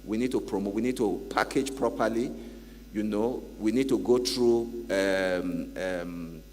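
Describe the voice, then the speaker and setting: A man with a fairly low voice speaks on a conference panel. He talks emphatically, repeating phrases and leaning on "you know". The sound carries long hall reverb over a faint mains hum. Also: a little slow, darkish tone. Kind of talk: panel discussion remarks.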